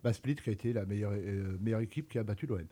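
Mellow-voiced man joking on radio. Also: fairly low pitch, fast talker. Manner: joking, teasing the other panelists. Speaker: radio co-host taking part in the quiz. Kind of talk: casual radio banter. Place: community radio studio.

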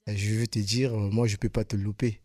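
A man from Congo-Brazzaville, a radio guest telling his story sincerely, with frequent pauses and repeated words. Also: fairly low pitch, flat delivery, warm tone, no room sound, clean studio sound. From Republic of the Congo. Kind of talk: earnest personal testimony. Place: radio studio.

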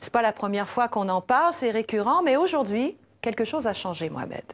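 A woman's voice: on the high side, fairly quick. A woman from Quebec with a Québécois accent, delivering a wry, scripted broadcast commentary as a journalist. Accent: Québécois French. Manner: wry, lively, ironic delivery. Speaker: journalist giving a commentary segment.

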